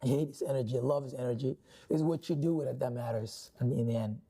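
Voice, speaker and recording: A man from Somalia speaks as a guest author in an interview. He talks earnestly and hesitantly, restarting his phrases. His voice is very dark and mellow, at a middle pitch. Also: breathy edge. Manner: earnest, halting, many restarts. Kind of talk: interview conversation.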